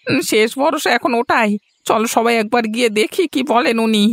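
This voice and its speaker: A woman voicing a weary, despairing poor old farmer in a cartoon, with a creaky, plaintive delivery. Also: a little high, normal speed, no echo. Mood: worried. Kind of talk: cartoon voice acting. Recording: music behind the voice.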